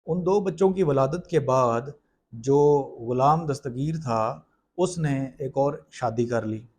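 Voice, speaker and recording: Man, Pakistani accent, average pitch, legal explainer, informal lecture style, explanatory manner, English legal terms mixed in. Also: slow pace, darkish tone, slightly rough.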